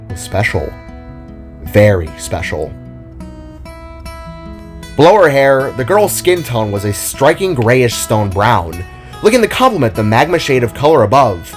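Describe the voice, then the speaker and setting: A man narrating a scripted audio drama story in a deliberate, expressive storytelling manner. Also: medium pitch. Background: solo acoustic guitar music.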